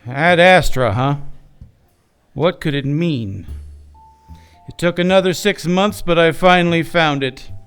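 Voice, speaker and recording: A man acts as a hard-boiled detective in a noir parody on radio. His voice is high for a man and very clean, and he narrates slowly and wearily with soft music behind him. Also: clean tone. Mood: brooding. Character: hard-boiled male noir gumshoe, world-weary coffee seeker.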